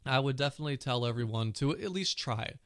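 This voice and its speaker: A man's voice: mid-range voice, animated, quick pace, a bit bright, dry recording, clean studio sound. A man hosting his own casual tech podcast, chatty and full of fillers, with a cold and a sore throat. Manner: chatty, many "you know" fillers. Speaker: podcast host.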